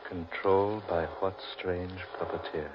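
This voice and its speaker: An actor playing a grave, fatalistic man lost in a haunted forest, ominous and deliberate, in 1940s radio horror style. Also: low voice, level tone. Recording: muffled, very noisy 1940s radio recording.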